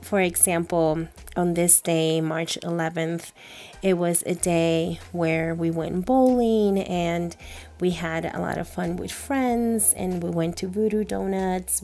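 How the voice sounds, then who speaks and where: A woman in her thirties from Puerto Rico, a native Spanish speaker with a Puerto Rican accent, gently and reflectively talking through her journal pages in a calm vlog voice-over. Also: lowish voice, creaky. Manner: slow, gentle and reflective, with soft fillers. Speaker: journaling vlogger.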